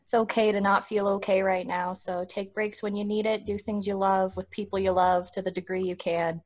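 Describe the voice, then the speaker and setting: A woman's voice: medium pitch, flat delivery, normal speed, a little mellow. A woman talking casually as a panelist on a live podcast video call. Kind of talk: casual chat.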